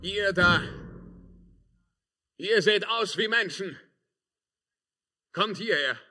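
A tormented emperor in an old radio play. His voice is piercingly bright and rough-edged, and he declaims his verse slowly, moving between brooding and anguished, imperious force. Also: high-pitched, animated, full character voice. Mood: questioning, commanding. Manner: declamatory, swinging from hushed musing to anguished cries. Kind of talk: 1950s German radio drama, theatrical verse acting.

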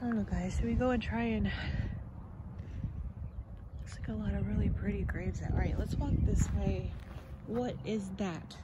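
A woman's voice: mid-range voice, moderate pace, pauses often. Hesitant woman vlogging outdoors, noisy. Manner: chatty, hesitant, often trails off. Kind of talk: casual walking vlog. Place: outdoor cemetery on a sunny day.

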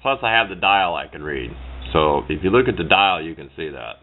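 Man, middle-aged, deep voice, casual explainer, rambling, plain-spoken, muffled lo-fi sound. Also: lively intonation, fairly quick. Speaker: hobbyist demonstrating a homemade radio antenna build. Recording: low-fi muffled sound with clear background noise.

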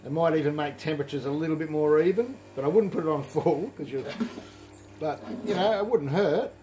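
Relaxed middle-aged man explaining as he works. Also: a little high, brisk, a little hiss or hum. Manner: relaxed, explanatory, thinking aloud while working. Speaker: home brewing presenter. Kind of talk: casual hands-on demonstration. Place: indoor home brewery.

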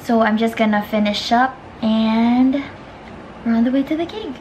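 A woman's voice: a little high, normal speed. A young woman with a Filipino accent vlogging casually to a handheld camera, playful and giggly, switching between Tagalog and English. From Philippines. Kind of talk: casual vlog chat. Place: busy gym, then indoors at home. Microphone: handheld camera at arm's length. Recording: noisy gym with crowd.

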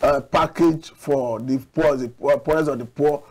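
Middle-aged man, studio guest, lapel mic, fairly high voice, measured and argumentative. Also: fairly even intonation. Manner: measured, argumentative, occasional restarts. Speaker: public affairs analyst guest. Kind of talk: studio TV discussion. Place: TV studio set.

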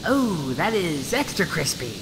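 Excitable, swooping pony weather reporter played by a woman. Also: on the low side, measured pace, over loud music. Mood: nervously chipper. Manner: theatrical, chatty, nervous hesitations. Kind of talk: comedic audio drama newscast.

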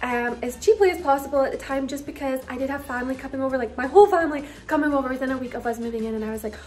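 A woman's voice: sits high, racing, a little mellow. A young woman in her twenties giving a casual, giggly house tour to her viewers, chatting into a phone held at arm's length in a bedroom. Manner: giggly, bubbly, lots of "like" and "you guys".

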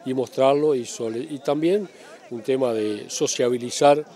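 Mellow-voiced older Uruguayan man. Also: medium pitch, normal speed. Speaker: interviewee. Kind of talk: spoken interview answer. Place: outdoors at a showground. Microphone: handheld interview microphone.

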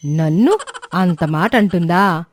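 A woman's voice: on the low side, animated, takes it slowly, a little hiss or hum. Exasperated older mother, chiding played by a woman. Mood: indignant. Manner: chiding, indignant. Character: exasperated, scolding older mother of a married daughter. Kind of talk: exaggerated animated moral-story dialogue.

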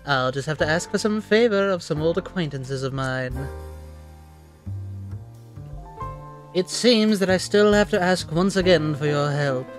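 A man's voice: on the high side, normal speed, faint music behind, close to an everyday voice. A man playing a suave, menacing radio demon in a cartoon dub, talking with theatrical, condescending politeness. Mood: sinister courtesy. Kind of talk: cartoon comic dub acting.

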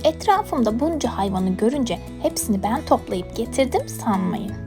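A woman's voice: fairly high pitch, big pitch swings, normal speed, music in the background. A woman narrating a scripted children's bedtime story expressively, as a storyteller.

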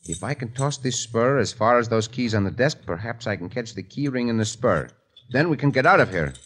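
A man's voice: medium pitch, expressive, a little fast, a bit bright, husky. A man playing a confident caballero hero in a 1950s radio drama. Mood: calm, scheming. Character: dashing male Mexican caballero hero, confident. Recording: noisy old radio broadcast.

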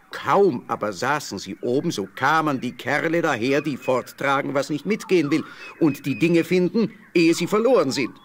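Narrator for children's fairy-tale audio play: man, mid-pitched, scripted storytelling. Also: slight background noise.

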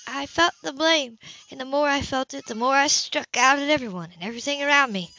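A woman reader plays a young woman, wounded but unflinching, in an audiobook. Her voice is fairly high and controlled, with a slightly dull home-recorded sound. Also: brisk. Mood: resolute. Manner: quiet, matter-of-fact recounting.

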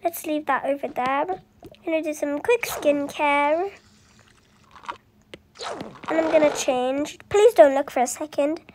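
Very high, slow childlike voice. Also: a little hiss or hum. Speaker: voiced roleplay video creator. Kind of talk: improvised children's roleplay storytelling with animated dolls.

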